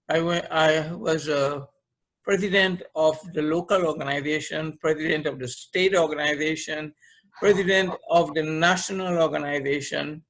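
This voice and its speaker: Mature male podcast guest, Trinidadian accent, fairly high voice, conversational leadership trainer. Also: a bit bright. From Trinidad and Tobago. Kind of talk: conversational interview, recounting career.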